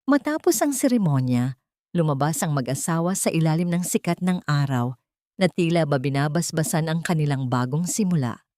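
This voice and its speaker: Lower-voiced woman narrating a romance. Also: lively intonation, moderate pace, dark tone, no room sound, clean studio sound. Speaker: storyteller. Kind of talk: scripted dramatic narration of a romance story.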